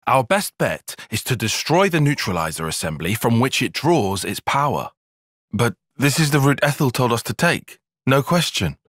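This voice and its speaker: An actor playing an earnest, cerebral young soldier and tactician in a video game, with a British accent, articulate formal phrasing and a slightly husky voice. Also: male voice, mid-range voice, very animated, moderate pace, fairly bright tone, no echo, studio quality. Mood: measured, analytical. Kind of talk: scripted video game cutscene acting.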